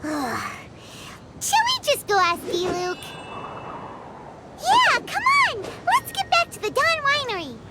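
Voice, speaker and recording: A woman voicing a small, excitable childlike fairy girl in a video game, with a squeaky, cutesy voice and chatty, exclaiming delivery. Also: slow, pauses often, noisy background, full character voice. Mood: musing, grumbling, then eager, chatty. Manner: refers to self in third person, chatty, exclaiming. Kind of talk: video game character acting. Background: game music.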